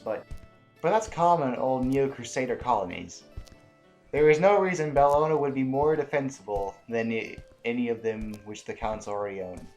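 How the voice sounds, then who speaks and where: A man playing an earnest, skeptical general who speaks formally and deliberately in a science-fiction audio drama. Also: medium pitch, darkish tone, close to an everyday voice. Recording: echoey room, music behind.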